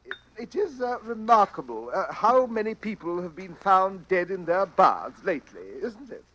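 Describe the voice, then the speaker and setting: An actor playing a nervous, genteel, suspicious bachelor in an old-time radio drama, hesitant and stammering but polite. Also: male voice, high voice, sing-song, normal speed, sharp tone, heavily acted. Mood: uneasy. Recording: faintly noisy old radio recording.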